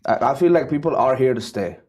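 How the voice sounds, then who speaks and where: A man's voice: mid-range voice, animated, fairly quick, a little mellow, highs cut off. A man speaking as a guest in a casual panel discussion, often repeating words and ending points with "right?". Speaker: business setup guest.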